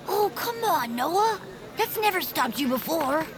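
A woman's voice: extremely high pitch, big pitch swings, a little slow, exaggerated voice. A woman playing an eager, chatty, loyal sidekick in an audio fiction drama, with a breezy, blunt manner. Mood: encouraging.

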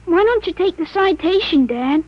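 A high-voiced 13-year-old boy, calm and matter-of-fact, old muffled radio play. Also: moderate pace, slight background noise. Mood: earnest. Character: thirteen-year-old boy with a grown man's mind. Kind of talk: 1940s radio drama acting. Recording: dull lo-fi old radio recording.

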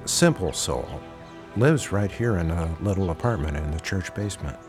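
A man's voice: low voice, expressive, moderate pace, music in the background. A man playing a courteous, guarded church pastor in an audio drama.

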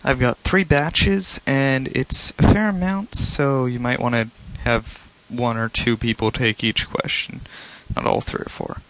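A man's voice: medium pitch, steady tone, unhurried, hardly any pauses, fairly bright tone, rough recording. A man delivering a prepared voice message as a listener sending in questions.

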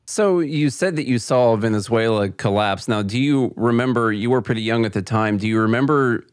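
A man's voice: mid-range voice, moderate pace, no room sound, studio quality. A man hosting a podcast and conducting a conversational interview. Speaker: podcast host.

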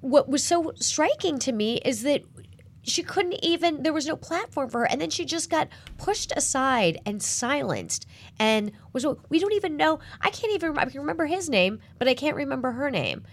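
A woman host and business reporter leading a panel discussion, animated and asking questions. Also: sits high, moderate pace.

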